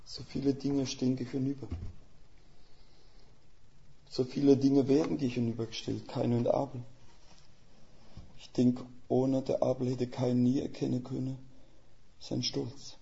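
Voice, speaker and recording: An older man delivering a sermon in a thick Swiss German dialect, his voice gravelly and mellow. He talks plainly and folksily, with homely images and rhetorical questions. The recording sounds a little dull and lo-fi. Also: medium pitch. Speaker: guest preacher; missionary.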